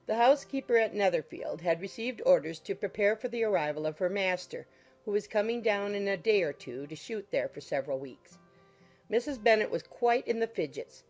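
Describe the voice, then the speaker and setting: A woman's voice: mid-range voice, expressive, brisk, a little mellow, soft background music. A woman reading scripted literary narration as an audiobook narrator.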